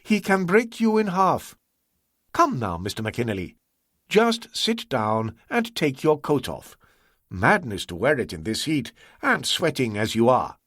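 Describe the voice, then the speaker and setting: A man voicing a suave, soft-spoken crime boss who threatens with quiet courtesy in an audiobook story. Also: medium pitch, huge pitch swings, a little slow, dry recording, studio quality, heavily acted. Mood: coaxing. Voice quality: smooth, silky, quietly threatening.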